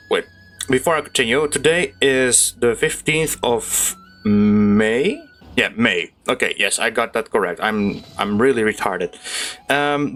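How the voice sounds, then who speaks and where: A man's voice: medium pitch, fairly bright tone. Casual, rambling young male gamer. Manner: rambling, informal, self-correcting. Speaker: gaming video host. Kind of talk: casual let's play commentary. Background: game music.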